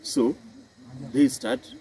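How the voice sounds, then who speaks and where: A man with a Kenyan accent, a health and psychology specialist, giving a slow, deliberate talk to camera outdoors in a city. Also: warm tone, slight background noise, low-quality recording. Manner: slow and deliberate, with a swooping emphatic pitch.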